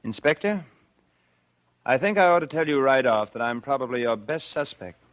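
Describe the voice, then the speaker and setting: A man's voice: mid-range voice, animated, normal speed, no echo, lightly acted. An actor playing a guilty, scheming man who confides his crime in 1940s radio suspense style. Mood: feigned innocence. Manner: confessional first-person narration. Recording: vintage 1940s radio with loud music.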